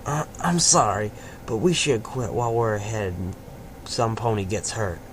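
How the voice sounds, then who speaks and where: A man's voice: lowish voice, normal speed, a little hiss or hum, lightly acted. A man voicing a drunk young male country pony in a fan fiction reading, stammering and folksy as he anxiously apologizes. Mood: apologetic, pleading. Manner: stammering, folksy, grumbling speech.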